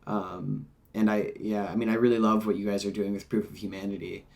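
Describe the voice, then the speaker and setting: A man's voice: lowish voice, flat delivery, brisk, vocal fry, darkish tone. A man hosting a podcast, chatting casually with lots of "like" and "um" and laughing easily. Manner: easygoing, many fillers like "like" and "um", laughs easily. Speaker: podcast host. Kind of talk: casual interview talk.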